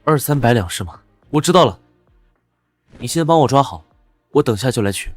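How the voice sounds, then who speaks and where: An actor playing a young beggar in a costume drama, husky, exasperated yet protective. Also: male voice, mid-range voice, expressive, fairly quick, soft background music, lightly acted. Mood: anxious resolve. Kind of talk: dubbed animated costume drama.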